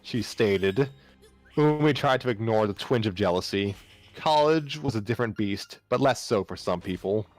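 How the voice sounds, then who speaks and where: A man's voice: lowish voice, lively intonation, moderate pace, soft background music, rough recording. A man narrating fan fiction aloud as an amateur reader, sometimes stumbling and rereading phrases. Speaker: story narrator.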